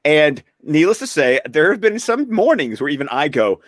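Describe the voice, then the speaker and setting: Man, podcast panelist, lively swooping intonation, dry close sound, joking banter. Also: on the high side, normal speed, no echo. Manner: animated, joking, emphatic repetition. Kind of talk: casual banter.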